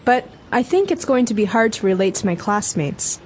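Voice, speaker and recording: Woman reading a dialogue, measured. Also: mid-range voice, very animated, darkish tone, slight background noise. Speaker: student in scripted dialogue. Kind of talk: read scripted dialogue.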